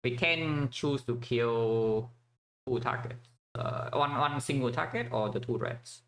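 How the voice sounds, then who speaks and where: A man's voice: mid-range voice, slow pace, fairly bright tone, slight background noise. Vietnamese-raised man thinking aloud. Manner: thinks aloud with many fillers and restarts. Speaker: streamer playing a strategy game. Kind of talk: casual gameplay commentary.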